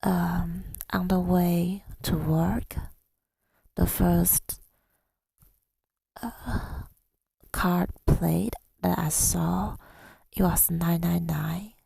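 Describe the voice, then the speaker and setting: A woman speaking softly and intimately in a husky, breathy ASMR style, hesitant and affectionate, often slipping into a whisper, close to the microphone. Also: fairly low pitch, fairly even intonation, extremely slow, pauses often, dry recording. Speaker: ASMR audio creator. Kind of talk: intimate ASMR girlfriend roleplay, telling about the day. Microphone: close microphone, dry sound.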